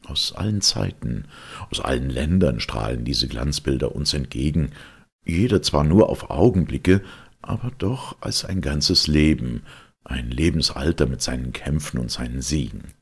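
A man reading a classic literary tale as an audiobook narrator, in a solemn, measured storytelling manner. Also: very deep voice, vocal fry, husky.

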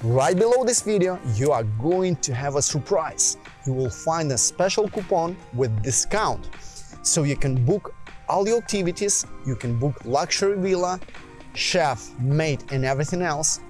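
A man with a slightly high voice gives an eager sales pitch, talking straight to the viewer as a travel channel host. Soft music plays faintly beneath him. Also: expressive, moderate pace. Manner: salesy, upbeat, addresses viewers directly. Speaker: villa rental promoter and channel host. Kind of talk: promotional video narration.

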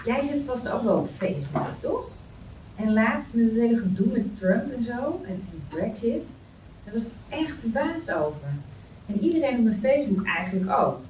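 A woman's voice: medium pitch, mellow, natural voice. A woman playing a chatty, idealistic young woman with an earnest, informal manner at a script table read. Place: meeting room. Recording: roomy, distant mic, faint noise.

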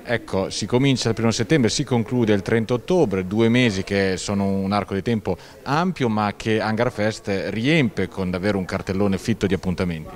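A man's voice: on the low side, normal speed, few pauses. A man interviewing a festival director on local TV, asking fluent, prepared questions. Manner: fluent, scripted phrasing. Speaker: TV news interviewer.

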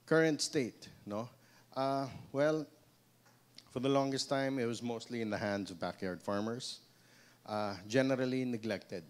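A man's voice: mid-range voice, unhurried, clean tone. A man in his thirties with a Filipino accent giving a seminar talk on a handheld microphone in a small room, speaking conversationally and often adding a questioning "no?". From Philippines. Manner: conversational, frequent "no?" tag questions and restarts. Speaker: seminar speaker, pig breeder. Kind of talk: seminar talk mixing English and Tagalog.

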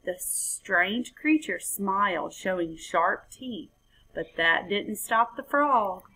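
A woman's voice: medium pitch, animated, takes it slowly, pushed and bright, husky, low-quality recording. A woman in her thirties reading a children's story aloud expressively, recorded at home on a computer mic. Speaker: storybook reader. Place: indoor room at home. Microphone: webcam or laptop mic.

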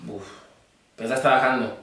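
A man's voice: medium pitch, fairly even intonation, a little fast, a bit bright. A young adult man chatting casually on prank phone calls as a fitness trainer. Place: indoor green screen studio. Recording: very echoey room, distant mic.

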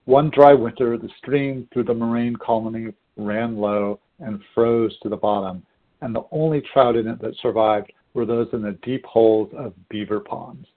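A man's voice: mid-range voice, steady tone, normal speed. A man, a fisheries scientist, reading literary narration aloud. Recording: tinny phone-like audio.

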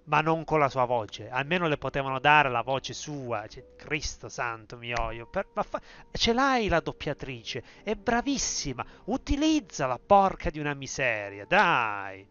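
A man's voice: mid-range voice, normal speed, few pauses, smooth. A young Italian man giving casual, exclamatory live commentary as a game streamer. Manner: animated, exclamatory asides. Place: indoor room, streaming. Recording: dull audio with game music behind.

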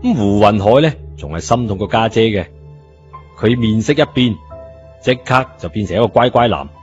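A man's voice: fairly low pitch, animated, moderate pace. A man with a Cantonese accent narrating a scripted story in a colloquial style, as an audiobook reader. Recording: muffled narration over music bed.

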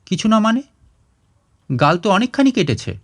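A man's voice: high voice, big pitch swings, moderate pace, voice pushed up. A man voicing a grave, concerned adult daughter in a story, questioning and scolding insistently. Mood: anxious questioning.

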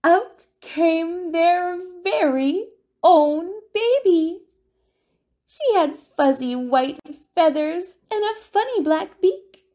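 A woman reading a children's picture book aloud as a storyteller, with expressive, deliberate delivery and drawn-out words. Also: extremely high pitch, muffled recording. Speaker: children's storyteller at a family centre.

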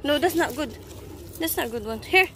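A woman's voice: sits high, animated, normal speed, a bit bright, a little hiss or hum. A woman talking casually to her small children while picking strawberries in an open field, coaxing them with short repeated instructions. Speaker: family vlogger.

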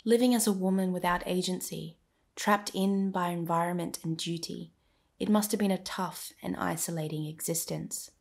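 A woman's voice: fairly low pitch, steady tone, normal speed, vocal fry, darkish tone. A woman narrating a scripted history podcast, speaking directly to the listener in a dramatic storytelling manner.

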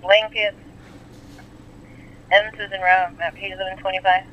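A man's voice: high-pitched, flat delivery, moderate pace, lo-fi sound. A man relaying clipped police radio traffic, heard through a scanner speaker. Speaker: police radio dispatcher or officer.

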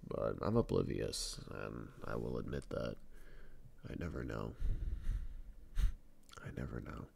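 Man acting a very deep-voiced, gravelly shy boyfriend. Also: a little hiss or hum, full character voice. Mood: awkward, self-doubting. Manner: hesitant, halting, stammering, soft-spoken. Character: shy young male best friend confessing love. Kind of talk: ASMR boyfriend roleplay.

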